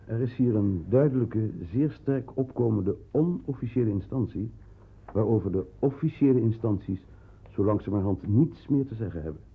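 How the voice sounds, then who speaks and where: A muffled, phone-like old radio play recording of a consulate official. His voice is low and slightly husky. He is courteous and formal, delivering grave warnings with measured emphasis. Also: animated. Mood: grave, insistent. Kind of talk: radio drama acting.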